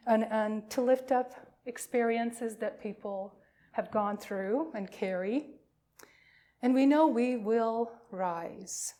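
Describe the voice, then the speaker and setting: A woman pastor welcoming a congregation and reading liturgy, with deliberate phrasing and frequent pauses. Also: a little high, a little mellow. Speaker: pastor leading a worship service.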